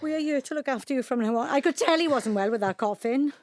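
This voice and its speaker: An actress playing an anxious, peacemaking middle-aged daughter with a Welsh accent, earnest and placating, in a naturalistic audio drama. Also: female voice, high voice, fast talker, a little mellow, no echo, clean studio sound, lightly acted. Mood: alarmed, tender.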